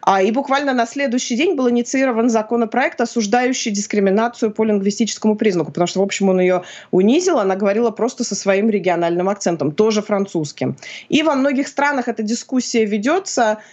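A woman's voice: a little high, fast, darkish tone, lo-fi sound. A woman in her forties, a philologist and journalist, explaining things thoughtfully at home with frequent hesitation sounds. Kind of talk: conversational explanation on a video call. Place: home room with bookshelves.

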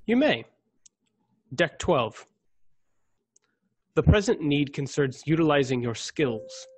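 A man's voice: fairly high pitch, very animated, slow pace, a little mellow, no echo, full character voice. A man acting an officious, condescending ensign with a formal, clipped manner in a radio play. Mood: curt. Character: officious, condescending young male starship ensign.

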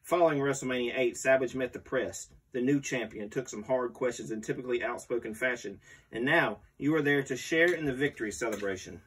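A man in his thirties with a husky voice, reading a magazine article aloud. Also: mid-range voice, a little fast, fairly bright tone, slight background noise. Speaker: host reading a bedtime story. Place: small home room, indoors. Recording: distant microphone in a home room.

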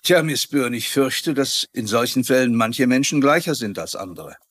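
A formal, official lawyer. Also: male voice, medium pitch, animated, normal speed, fairly bright tone, no echo. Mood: measured. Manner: formal, wry. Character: formal, measured male lawyer addressing creditors. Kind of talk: German-dubbed television crime drama.

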